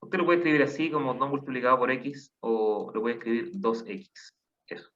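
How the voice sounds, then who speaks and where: A man's voice: mid-range voice, expressive, moderate pace, a bit bright. A man teaching a math class online, patiently counting aloud and asking the class questions. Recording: dull lo-fi online class audio.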